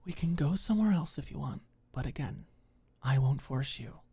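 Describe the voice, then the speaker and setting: A woman's voice: very deep voice, lively intonation, moderate pace, vocal fry, mellow, breathy edge, full character voice. A woman voicing a hurt, furious young woman in an audio drama, bitter and tense, her voice shaky with angry tears. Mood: tense, reluctant. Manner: bitter, swearing, emotional outbursts.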